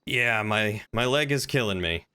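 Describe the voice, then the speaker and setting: Bright-voiced man streaming casually. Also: lowish voice, expressive, measured pace, smooth. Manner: rambling, thinking aloud, reading chat aloud. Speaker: livestreamer. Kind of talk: casual chat while streaming game roleplay.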